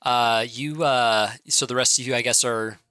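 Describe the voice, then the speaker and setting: A man's voice: medium pitch, fairly even intonation, moderate pace, bright, forceful tone, clear, resonant tone, no echo, studio quality. A man narrating as game master in a tabletop game, loose and hesitant with lots of fillers.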